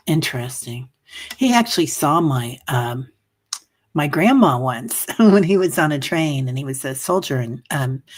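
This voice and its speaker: Husky, very low-voiced woman chatting. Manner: chatty, rambling, many fillers. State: coughing, a bit hoarse. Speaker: livestream meditation host. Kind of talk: casual chat reading viewer comments. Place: home livestream.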